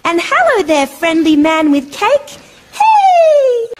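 A woman's voice: very high-pitched, huge pitch swings, slow, slight background noise, full character voice. A woman voicing a sweet, cheerful girlish dinosaur in a children's show, with a bubbly, sing-song manner. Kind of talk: children's show character performance.

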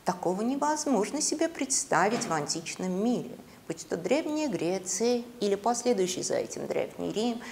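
Female lecturer, middle-aged. Theatrical storyteller, highly animated intonation, gravelly creak, deliberate pauses. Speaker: university lecturer. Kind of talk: dramatic lecture on literature and mythology. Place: classroom, indoors.

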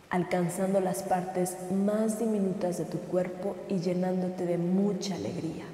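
A woman guiding a scripted sleep meditation in a slow, soothing, gentle manner. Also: medium pitch. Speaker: meditation guide. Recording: echoey with long reverb.

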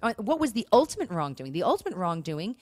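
A woman's voice: medium pitch, big pitch swings, quick pace, darkish tone, no room sound, studio quality. A woman co-hosting a podcast, talking casually and earnestly, with emphatic points and rhetorical questions. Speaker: podcast co-host. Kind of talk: casual conversational talk on marriage and faith.

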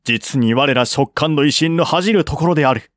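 A man's voice: fairly high pitch, fairly quick, fairly bright tone, dry recording, clean studio sound, lightly acted. A man reading as a proud, loyal general. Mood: indignant, proud. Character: proud, loyal male general of a fallen dynasty.

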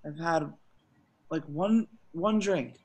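A man's voice: a little high, slow, a little mellow, slightly rough, rough recording. Man playing a hapless young slacker guy. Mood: awkward, defensive. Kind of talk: live table read over video call. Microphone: video call.

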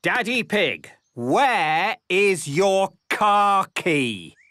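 An eager cartoon police officer. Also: female voice, medium pitch, very animated, very slow, fairly bright tone, dry recording, studio quality, full character voice.

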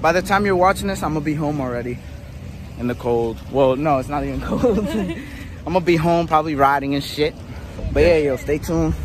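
A man's voice: on the high side, brisk, a little hiss or hum. Slangy young man vlogging by a pool. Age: young adult. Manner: loose slangy chatter, laughs a lot. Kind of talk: casual vlog chat. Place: outdoors by a backyard pool. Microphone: phone held at arm's length.

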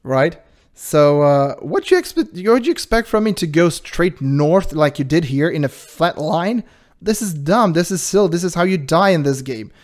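A man with a high-pitched voice hosting his channel, giving casual commentary in an animated, defensive way and laughing often. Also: normal speed. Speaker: channel host reacting to a video.

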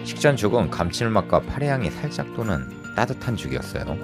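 A man's voice: lowish voice, sing-song, a little fast, vocal fry. A man hosting a food review vlog with scripted voice-over narration. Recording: loud music behind the voice. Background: music.

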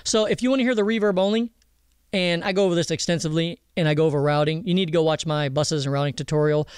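A man giving a casual software tutorial, explaining informally and directly to viewers. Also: on the high side, steady tone, quick pace, smooth, dry recording, studio quality. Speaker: audio mixing instructor. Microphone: close voice-over mic over screen recording.

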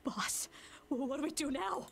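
High-pitched nervous bandit sidekick. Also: female voice, normal speed, gravelly, slight background noise. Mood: nervous, panicky. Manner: anxious, fawning. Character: cautious, nervous female bandit underling. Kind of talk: video game voice acting.

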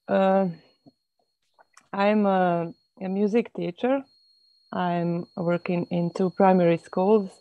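A Croatian woman introducing herself on a video call, speaking haltingly with many pauses and fillers. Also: fairly low pitch, dry recording. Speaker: webinar guest speaker. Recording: phone-like thin audio.

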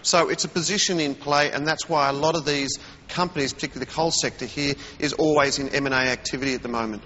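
A man being interviewed as a commodities analyst on live TV news, talking in a measured way and murmuring agreement while others speak. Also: on the high side, fairly even intonation, sharp tone, long reverb tail, dull audio, a little hiss or hum. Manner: measured, with frequent murmured acknowledgments. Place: remote TV studio.

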